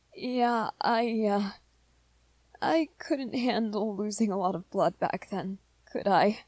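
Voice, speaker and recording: Woman playing young male vampire boyfriend, ASMR roleplay, soft-spoken, anxious, hesitant, unhurried pace, dry intimate sound. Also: mid-range voice, no room sound. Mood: bashful, fond. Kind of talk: ASMR roleplay, scripted one-sided dialogue to listener.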